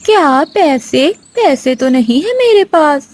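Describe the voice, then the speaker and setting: A woman's voice: high-pitched, big pitch swings, slow, darkish tone, heavily acted. A woman voicing a lazy, irritable mother crow with a complaining, snappish manner in a moral-story cartoon. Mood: pleading.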